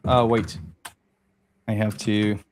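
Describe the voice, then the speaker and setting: A man demonstrating code on a livestream call, talking casually and halting, repeating words as he works. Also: on the low side, little pitch movement, a little hiss or hum. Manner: halting, repeats words while working on screen. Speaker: guest developer. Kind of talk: casual tech chat while demoing code.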